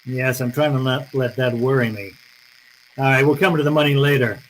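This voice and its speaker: A tough, cool gumshoe from an old-time radio play, acted by a man. He bargains in blunt, slangy phrases at a middling pitch, over slight background noise. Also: fairly even intonation, a little fast, fairly bright tone, natural voice, low-quality recording. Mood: firm, businesslike. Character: tough, cool, bargaining hardboiled male private detective.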